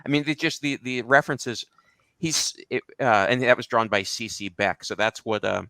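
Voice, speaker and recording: A man chatting casually as a show panelist, halting and meandering but enthusiastic. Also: medium pitch, pushed and bright, dry recording. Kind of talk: casual chat, comics commentary.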